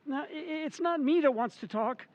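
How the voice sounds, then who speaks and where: High-pitched, timid henpecked husband, played by a woman. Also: normal speed, darkish tone, no echo, muffled recording, lightly acted. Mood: meek, nervous. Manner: stammering, hesitant, pleading. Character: timid henpecked husband, fearful, meek, simple little man. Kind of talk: old-time radio horror acting.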